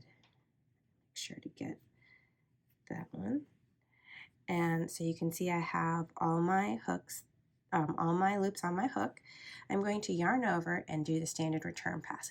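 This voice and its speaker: A woman with a head cold, sounding congested, calmly talking through a crochet tutorial step by step. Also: lowish voice, creaky. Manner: calm, steady instructional pacing with soft asides. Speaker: crochet teacher.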